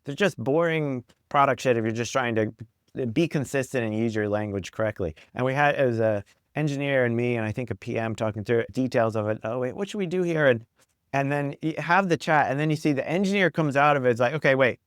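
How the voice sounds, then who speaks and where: Clear-voiced man in casual conversation. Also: medium pitch, fairly quick, no room sound, clean studio sound. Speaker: podcast guest, product executive.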